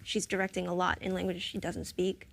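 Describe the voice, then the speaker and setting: A woman's voice: lowish voice, completely flat delivery, a little fast, vocal fry. A young American woman, an actress on a roundtable, talking thoughtfully and with animation. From United States. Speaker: actress guest. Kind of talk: roundtable conversation.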